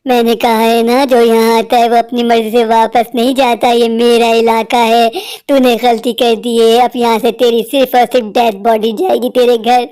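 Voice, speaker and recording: A woman's voice: fairly high pitch, little pitch movement, normal speed, dark tone, clean tone, natural voice. A woman voicing a cruel, hungry witch in a cartoon story, menacing, taunting and boastful. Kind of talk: Hindi cartoon story acting.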